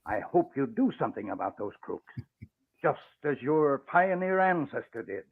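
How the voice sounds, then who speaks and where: An elderly, proud father speaks with theatrical fervor in a 1940s radio drama. His voice swings widely in pitch and has a slightly rough, unclean edge. The recording is thin and muffled, like an old broadcast. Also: sing-song, moderate pace. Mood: stern, impassioned. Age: older. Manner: impassioned, dramatic delivery. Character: emotional proud old father, retired crime-fighting newspaper owner.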